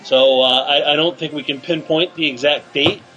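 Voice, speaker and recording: A male radio show host leading deadpan teasing banter in a halting, filler-filled setup. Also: on the high side, highs cut off, soft background music.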